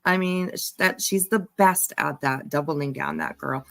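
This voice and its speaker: A woman hosting her channel, chatting casually and haltingly, with many ums and restarts. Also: sits low, creaky, a bit bright, no echo, clean studio sound. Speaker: channel host. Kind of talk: casual commentary chat.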